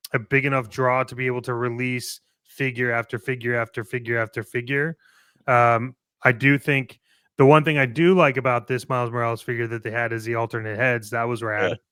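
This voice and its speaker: Bright-voiced, emphatic man talking toys casually. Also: mid-range voice, steady tone, normal speed, clean tone, dry recording, clean studio sound. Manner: emphatic, repeats phrases, blunt with mild swearing. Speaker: co-host of a toy collecting show. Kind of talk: casual opinionated discussion.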